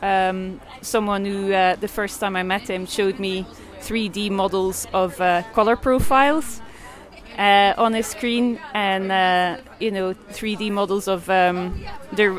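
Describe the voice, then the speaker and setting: A woman from Belgium in her forties answers interview questions about her art and photography. She talks haltingly, with frequent fillers and restarts. Her pitch stays level, with very little rise and fall. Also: steady tone. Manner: hesitant, many fillers and restarts. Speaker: artist and photographer.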